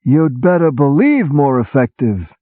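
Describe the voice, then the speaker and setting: A man's voice: mid-range voice, measured pace, no echo, pitched above the performer's own voice. A man voicing a brash, sarcastic army boss who talks like a streetwise gangster. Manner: sarcastic, excitable, shouting, streetwise gangster talk. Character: loud brash middle-aged male army boss. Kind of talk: audiobook character voice. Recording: tinny phone-like sound.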